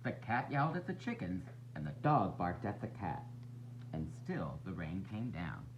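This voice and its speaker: A man reads a children's picture book with a hugely varied, swooping delivery, stretching out key phrases like a teacher. The recording is muffled, with a loud hum behind his voice. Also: low voice. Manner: expressive, drawn-out refrains and raised character voices. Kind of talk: animated storybook read-aloud for young children.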